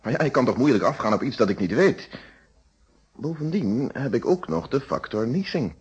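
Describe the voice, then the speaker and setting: An actor in a Dutch radio play playing a courteous, methodical police inspector with formal, deliberate questioning. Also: male voice, medium pitch, animated, a little mellow, slightly rough. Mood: explaining. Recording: dull, band-limited old radio recording.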